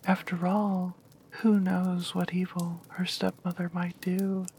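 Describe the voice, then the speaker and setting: A woman reading a fairy tale aloud in a soft whisper. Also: lowish voice, level tone, slow, a little hiss or hum. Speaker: storyteller. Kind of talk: whispered bedtime story reading.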